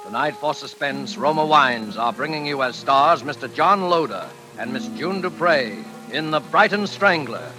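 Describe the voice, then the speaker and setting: A man's voice: fairly high pitch, animated, measured pace, hardly any pauses, rough recording. A man announcing a show in formal, polished, dramatic 1940s radio style. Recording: old radio broadcast. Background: loud dramatic music.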